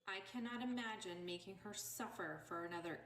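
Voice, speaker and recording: A woman's voice: medium pitch, fairly quick, a bit bright, a little hiss or hum. A woman, a mother in a live debate, pushing back with pointed questions. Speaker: parent in a debate. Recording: echoey room.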